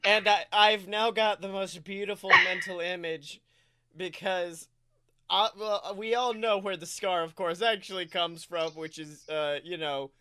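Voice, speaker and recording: A podcast co-host in casual comic banter, excitable and playful. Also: medium pitch, a little slow, clean tone.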